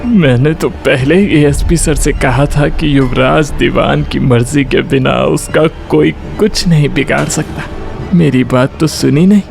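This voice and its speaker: A man's voice: fairly high pitch, measured pace, creaky, soft background music. A man playing a smug, grumbling police subordinate, muttering sardonically to himself in an audio drama. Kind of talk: Hindi audio drama inner monologue.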